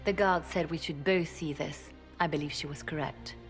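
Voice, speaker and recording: An actress voices a composed, devoted woman adviser in a fantasy game. She speaks formally and deliberately in a fairly low voice, over soft music. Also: fairly even intonation, close to an everyday voice. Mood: grave, analytical. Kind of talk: dramatic fantasy game acting.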